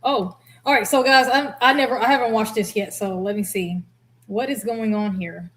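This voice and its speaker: Female reaction host, higher voice, blunt and expressive, casual commentary. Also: moderate pace. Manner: blunt, expressive, laughs readily. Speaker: host, former air traffic controller.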